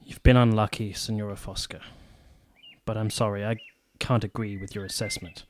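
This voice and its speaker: An actor playing an earnest, idealistic and courteous army captain in a period radio drama, measured and formal. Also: male voice, on the low side, little pitch movement, natural voice. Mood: earnest, hopeful.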